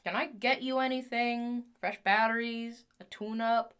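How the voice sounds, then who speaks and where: A woman playing an excitable, scheming inventor in an audio drama, theatrically talking to herself as her moods swing. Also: on the high side, measured pace, clean tone. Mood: chatty, exasperated.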